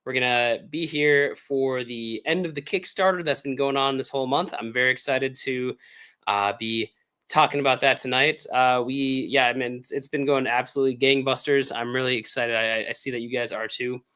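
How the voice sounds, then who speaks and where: A man with an American accent, hoarse from illness, chatting casually and upbeat as the host of a livestream Q&A, with lots of fillers. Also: mid-range voice, steady tone, moderate pace, bright, forceful tone. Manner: rambling, filler-heavy, upbeat. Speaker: author hosting a livestream Q&A.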